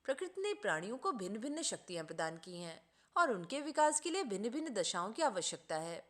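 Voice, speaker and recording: A woman voicing an educated male doctor in a storybook reading, politely sceptical, measured and formal. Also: mid-range voice, sing-song, natural voice. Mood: objecting.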